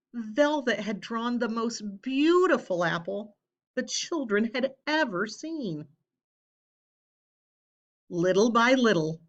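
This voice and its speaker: Female children's storybook reader, unhurried and expressive, measured phrasing, slightly muffled lo-fi sound. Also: mid-range voice. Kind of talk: children's read-aloud, scripted narration.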